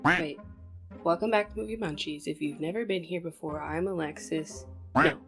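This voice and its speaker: A woman's voice: deep voice, normal speed, creaky, soft background music. A young woman hosting a casual movie commentary, chatty and opinionated, talking straight to viewers while sniffly from a cold.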